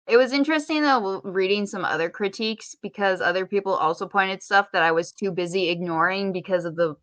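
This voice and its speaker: A woman's voice: mid-range voice, brisk, fairly bright tone, dry recording. A woman co-hosting a casual podcast, chatty and opinionated, with wry, rambling asides. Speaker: podcast co-host.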